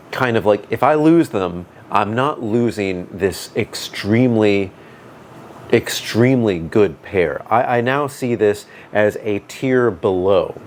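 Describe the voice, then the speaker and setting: A man with a booming voice giving a casual product review, thinking aloud with fillers and restarts. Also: a little hiss or hum. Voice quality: booming deep voice. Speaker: tech reviewer. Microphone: dedicated microphone.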